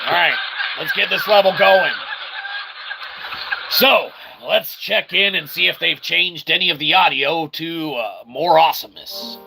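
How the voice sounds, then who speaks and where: A man's voice: a little high, unhurried, vocal fry, sharp tone, husky. A man giving casual video game commentary in a goofy way, with wordy catchphrases and mock-formal asides. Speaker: video game streamer, reviewer. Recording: very noisy, game sound behind.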